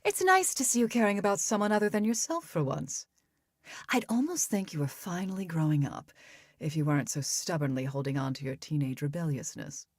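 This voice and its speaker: A woman voicing an inhuman creature posing as a mother in a visual novel, sugary, condescending and menacing, with theatrical, drawn-out endearments. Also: low voice, expressive, dry recording, clean studio sound. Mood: condescending, sweetly menacing. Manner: theatrical, drawn-out endearments, mock-hurt sighs.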